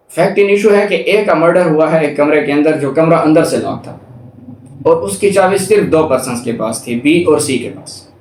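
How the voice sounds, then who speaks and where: A man's voice: on the high side, a little mellow. A man with a Pakistani accent giving an explanatory law lecture, going through it step by step. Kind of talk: explanatory lecture, reading articles aloud and explaining them. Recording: echoey room, distant microphone.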